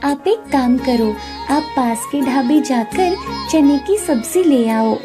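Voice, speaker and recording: A woman voicing a confident, helpful, resourceful young woman in an animated story. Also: sits high, takes it slowly, over music. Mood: earnest, practical.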